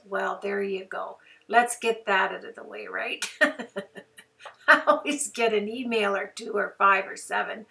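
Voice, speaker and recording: A hoarse woman hosting a card-making tutorial in a chatty, folksy way. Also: mid-range voice, sharp tone, recorded from a distance. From Canada. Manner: chatty, folksy, homey phrases. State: hoarse from flu. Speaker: craft tutorial host.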